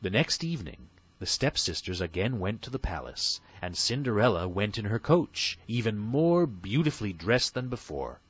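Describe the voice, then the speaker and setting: A man's voice: fairly low pitch, sing-song, moderate pace, hardly any pauses, muffled recording, a little hiss or hum. A man reading a fairy tale as an audiobook narrator, in a scripted bedtime story style.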